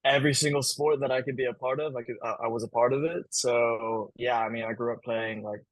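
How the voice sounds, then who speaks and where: A man's voice: mid-range voice, steady tone, a little fast, dry recording, poor audio. Chatty young man, dry close sound. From Hong Kong. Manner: chatty, many fillers and restarts, laughs often. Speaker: guest, camp business manager. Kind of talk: casual interview answers.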